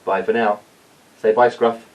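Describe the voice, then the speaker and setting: Man, lowish pitch, distant dull recording, encouraging teacher, children's storytelling, informal address. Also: little pitch movement, a little slow. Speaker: teacher reading a story to pupils. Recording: dull sound, distant from mic.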